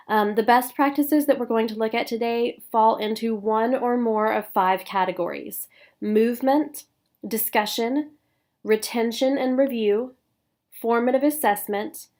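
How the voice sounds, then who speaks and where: A woman with a stuffy nose from a cold, giving a recorded professional development talk for teachers with clear, paced explanation and frequent "um"s. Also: on the high side. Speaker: instructional coach.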